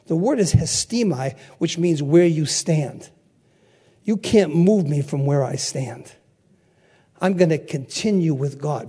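A man's voice: fairly high pitch, dark tone, husky. An older man preaching a sermon, repeating key phrases and calling out questions for the congregation to answer. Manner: call-and-response, emphatic repetition.

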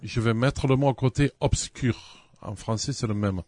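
A man's voice: mid-range voice, normal speed, a little mellow. A middle-aged man from New York State preaching a sermon as pastor, earnest and repeating phrases for emphasis.

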